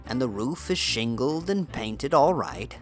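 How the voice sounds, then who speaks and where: Man as a lively-pitched, wry, consoling farmer neighbour. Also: a little slow, soft background music, natural voice. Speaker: voice actor. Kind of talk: dramatized audiobook character acting.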